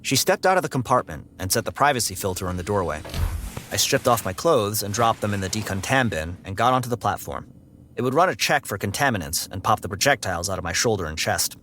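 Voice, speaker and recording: An actor playing a guarded, socially averse security android who tells its story in a dry, sarcastic, deadpan way. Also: male voice, lowish voice, fast talker, a little hiss or hum. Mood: dry, matter-of-fact. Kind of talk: first-person audiobook narration and dialogue.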